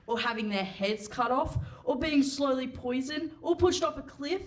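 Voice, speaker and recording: A woman's voice: mid-range voice, lightly acted, rough recording. A woman playing a naive, literal-minded co-presenter in a live radio play. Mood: macabre glee.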